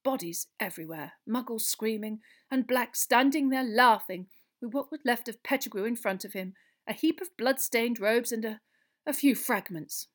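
A pompous, middle-aged man of government played by a woman narrator in a storybook. He speaks gravely and officiously in a measured, fairly high voice. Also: close to an everyday voice. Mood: haunted.

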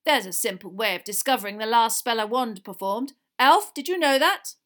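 A woman's voice: on the high side, big pitch swings, normal speed, fairly bright tone, pitched above the performer's own voice. A woman voicing a blustering, accusing male wizard official who barks and growls his words in a storybook reading. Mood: menacing. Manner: barking, growling, interrogating.

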